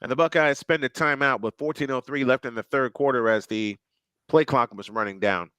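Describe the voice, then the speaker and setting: A man calling a football game play by play, getting excitable and jumping up on big plays, and trading banter with co-hosts. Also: medium pitch, brisk, clean tone. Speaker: play-by-play commentator. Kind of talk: live game commentary with banter.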